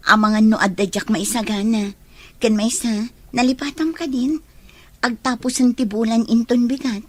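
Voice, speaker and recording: A woman acting as an apologetic but determined heroine with a slightly husky voice. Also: fairly high pitch, steady tone, normal speed, no room sound, lightly acted. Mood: gently insistent. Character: Annalyn, apologetic, determined woman leaving for work abroad. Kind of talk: Ilocano radio-style drama acting.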